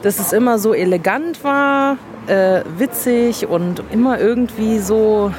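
A woman's voice: fairly high pitch, measured pace. A woman fan speaking casually and hesitantly, with many fillers, while queuing outdoors. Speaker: concert fan. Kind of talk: casual interview. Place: outdoors in a queue outside a club. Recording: loud crowd around the mic. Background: crowd chatter and street.

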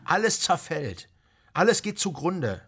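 A high-voiced, rousing squatter agitator. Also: male voice, sing-song, fairly bright tone, breathy edge, full character voice. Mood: solemn, urgent. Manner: declamatory, oratorical, emphatic pauses. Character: rousing male squatter leader agitating against speculators. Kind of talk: German radio play, speech to a group. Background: guitar music.

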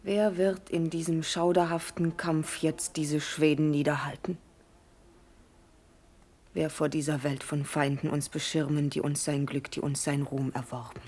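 An actress playing a devoted, anguished young princess with a breathy voice in a classical radio drama. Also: female voice, low voice, little pitch movement, a little slow, darkish tone, exaggerated voice. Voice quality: breathy, husky. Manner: anguished, theatrical.

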